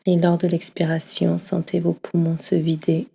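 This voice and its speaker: A woman leading a guided yoga nidra session, speaking slowly and softly, often slipping into a half-whisper. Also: lowish voice, monotone, creaky, breathy edge. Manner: slow, soft, often half-whispered delivery. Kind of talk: guided meditation. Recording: long reverb as in a hall.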